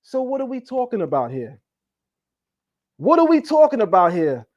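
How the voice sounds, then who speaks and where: Emphatic high-voiced male debate host. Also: wildly swooping pitch, moderate pace, a little mellow, lo-fi sound. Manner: emphatic, boastful, repeats himself. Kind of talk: heated live debate.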